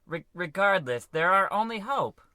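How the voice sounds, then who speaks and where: Guy as a fretful space crewman, high bright voice, earnest underling, radio comedy. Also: animated, moderate pace, no room sound, clean studio sound. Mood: worried, practical. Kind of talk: old-time radio comedy acting.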